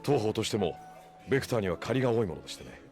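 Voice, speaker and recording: Deep-voiced, suave gentleman. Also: animated, very fast. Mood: courteous. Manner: smooth formal politeness, dryly teasing. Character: suave, courteous, teasing gentleman guardian of authority. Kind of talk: Japanese audio drama acting. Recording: music playing clearly behind.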